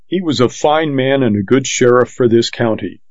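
A man voicing a stiff, pompous rich gentleman who speaks formally and lectures with scorn. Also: medium pitch, normal speed, no room sound, natural voice. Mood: disapproving. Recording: dull, lo-fi dry audiobook recording.

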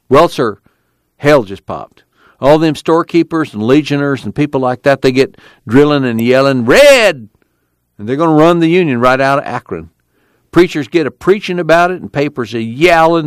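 Brief: man reading as a grizzled drifter telling a tale, folksy drawl, raised and lively. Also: a little high, no room sound, clean studio sound, voice pushed up, close to an everyday voice. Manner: folksy yarn-spinning drawl. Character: weathered bearded male migrant storyteller.

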